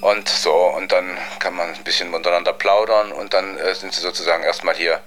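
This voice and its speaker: A man's voice: sits low, steady tone, brisk, fairly bright tone, slight background noise. A man casually telling an anecdote in a colloquial, hesitant, rambling way.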